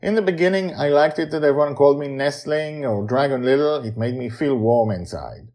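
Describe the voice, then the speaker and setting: A man's voice: medium pitch, brisk, darkish tone, voice pushed up, lightly acted. A man voicing an earnest, stubborn eight-year-old girl in an audio storybook. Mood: sad, earnest.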